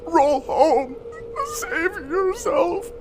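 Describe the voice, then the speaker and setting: A badly hurt, devoted young man, speaks in a high, strained register. He is slow and halting, weak and close to tears as the cold takes him. Loud ambient noise surrounds the voice. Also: heavily acted. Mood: desperate, pleading. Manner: halting, broken phrases, tearful. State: weak, gasping, crying. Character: badly injured young man, devoted, desperate, freezing to death. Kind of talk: audio drama acting. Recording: noisy, loud wind-like ambience behind.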